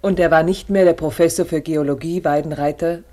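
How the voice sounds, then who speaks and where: Actress as radio play narrator lady, deep mellow voice, measured storybook delivery. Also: fairly even intonation, close to an everyday voice. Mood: solemn. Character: female storyteller narrating a man's new identity.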